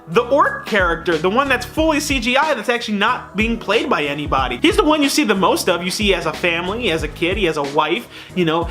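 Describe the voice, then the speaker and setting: A man giving a casual, opinionated movie review in an animated, colloquial way with mocking asides. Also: extremely high pitch.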